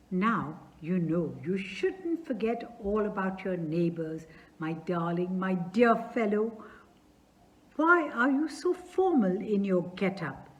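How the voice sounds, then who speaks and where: A woman reading aloud as an effusive, fussy older male landowner who showers his guest with endearments. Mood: gushing. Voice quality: gushing, loud and high-pitched endearments.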